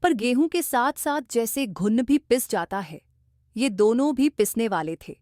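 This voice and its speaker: A woman tells a scripted cartoon bedtime story as its narrator. Her delivery is very lively in pitch, and the voice-over sounds close and dry. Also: a little slow, no echo.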